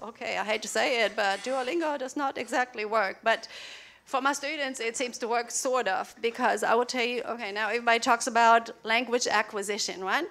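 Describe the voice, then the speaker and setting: A woman's voice: on the high side, a bit bright, slight background noise. Chatty German woman presenting. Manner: chatty, laughing asides, quick questions to the audience. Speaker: presenter at a teacher conference. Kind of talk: conference workshop talk. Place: large lecture hall.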